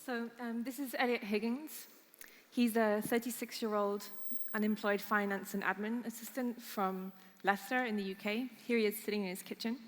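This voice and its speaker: A woman with a British accent presenting a case study at a conference, steady and explanatory with light fillers. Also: medium pitch, moderate pace. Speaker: conference speaker. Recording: echoey conference hall.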